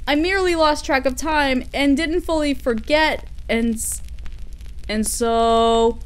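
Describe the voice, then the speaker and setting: Woman acting a high-voiced, sweet, flustered girlfriend. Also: slow pace. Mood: nervous, affectionate. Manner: giggly, gushing, hesitant when flustered. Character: sweet, flustered workaholic mechanic girlfriend, affectionate and dorky. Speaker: audio roleplay performer. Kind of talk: intimate girlfriend roleplay audio acting.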